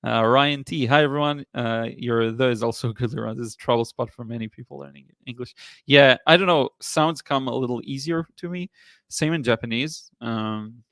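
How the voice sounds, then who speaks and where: A man in his thirties with an Israeli accent, hosting a painting livestream and answering viewer comments in a relaxed, halting way with many fillers. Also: medium pitch, no echo, clean studio sound. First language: Hebrew. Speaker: watercolor artist and livestream host. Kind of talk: casual livestream chat reading comments.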